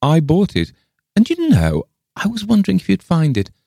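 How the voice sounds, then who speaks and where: A man voicing a brisk, practical woman visitor in a storybook reading, cheerful, insistent and coaxing in a matter-of-fact way. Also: fairly low pitch, sing-song, gravelly, dark tone, husky, dry recording, studio quality, pitched above the performer's own voice, heavily acted. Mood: brisk, puzzled.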